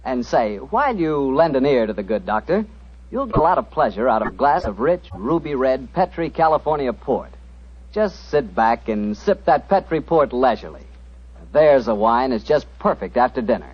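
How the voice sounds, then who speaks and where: A man's voice: mid-range voice, sing-song, moderate pace. An American man announcing in polished 1940s radio style, with emphatic, friendly sponsor patter. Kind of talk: 1940s radio announcing, scripted sales pitch. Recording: dull, rough vintage 1940s radio broadcast.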